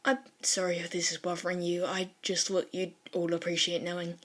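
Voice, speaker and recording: Woman voicing a shy, gentle, hesitant teenage boy. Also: on the low side, monotone, fairly quick. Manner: hesitant, softly apologetic.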